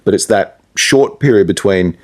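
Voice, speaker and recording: A man's voice: fairly low pitch, moderate pace, darkish tone. An Australian man, a radio presenter, chatting casually as an interview guest in a rambling, reflective, anecdotal way. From Perth, Australia.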